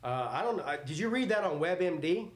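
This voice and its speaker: A man's voice: fairly high pitch, lively intonation, normal speed. A man in his forties hosting a live sports watch party, mixing casual chat with play-by-play in a blunt, joking, rambling way. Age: 40s.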